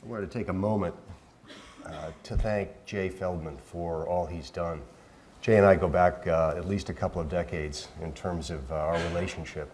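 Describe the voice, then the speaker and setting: A middle-aged man, soft-spoken and hesitant with many fillers and restarts, giving a keynote lecture as a professor in a lecture hall on a handheld mic. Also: deep voice, steady tone, moderate pace, slight background noise. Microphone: handheld microphone.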